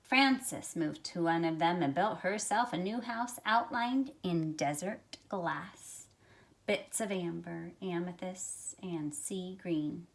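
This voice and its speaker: A middle-aged woman reading a picture book aloud to young listeners in an expressive, measured storytelling way. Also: lowish voice. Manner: expressive, measured storytelling with drawn-out phrases and softened asides. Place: small carpeted room at home, indoors. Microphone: phone at arm's length.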